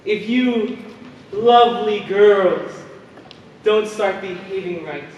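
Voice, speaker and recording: A man on stage playing a condescending overseer of girls, sweetly patronising with quiet menace, in a theatrical drawn-out manner. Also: sits high, muffled recording. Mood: condescending, menacing. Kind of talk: stage play acting. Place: theater stage, distant microphone. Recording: echoey noisy theater hall from far off.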